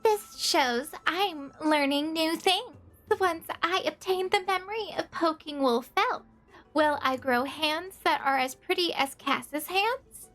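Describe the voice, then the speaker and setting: A woman's voice: extremely high pitch, takes it slowly, a bit bright, soft background music, exaggerated voice. A woman voicing a tiny, cute and curious childlike mascot creature in an anime game. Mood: curious, happy.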